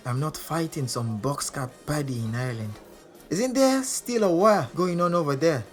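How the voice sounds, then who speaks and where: A man's voice: fairly high pitch, lively intonation, normal speed, faint music behind, close to an everyday voice. An actor playing a proud, defiant world champion boxer who narrates his own story in a boastful, wry way for an audio drama. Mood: indignant, proud. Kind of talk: audio drama monologue and dialogue. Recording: clear background noise and ambience.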